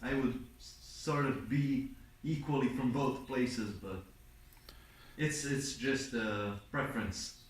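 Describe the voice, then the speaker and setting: Distant, echoey young Serbian-accented man, slow talker. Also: medium pitch. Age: young adult. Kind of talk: casual chat. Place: art studio, away from the mic. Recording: roomy, distant, noisy studio sound.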